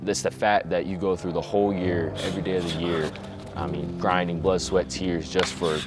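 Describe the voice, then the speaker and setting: A teenage boy from Hawaii, a high school quarterback, talking reflectively in a documentary interview with many fillers. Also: flat delivery, moderate pace, loud music behind the voice. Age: teens.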